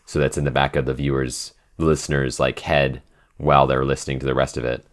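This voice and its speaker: A man's voice: rumbling low, little pitch movement, a little fast. A man co-hosting a podcast Q&A in an informal, conversational way, circling back over his phrases with plenty of filler likes. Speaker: podcast writer and co-host reading listener questions. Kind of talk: casual Q&A chat.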